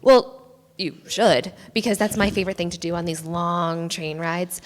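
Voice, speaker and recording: A woman's voice: lowish voice, fairly even intonation, normal speed, natural voice. An actress playing a chatty, brash young college woman in a live staged play reading. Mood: eager. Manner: eager, foul-mouthed, yells across the room. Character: chatty, brash college woman who loves murder podcasts. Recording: echoey theatre hall, live stage.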